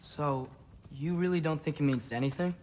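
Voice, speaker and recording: An actor playing an earnest, defiant teenage son in a video game drama, youthful and insistent. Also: male voice, a little high, moderate pace, noisy background, close to an everyday voice. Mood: questioning. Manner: questioning, insistent.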